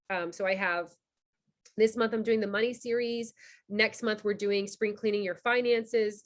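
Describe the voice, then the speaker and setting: Fast-talking chatty woman host. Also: medium pitch. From Cuba. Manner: fast, chatty, filler-heavy. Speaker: founder hosting a member orientation. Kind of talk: casual online presentation. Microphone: video call.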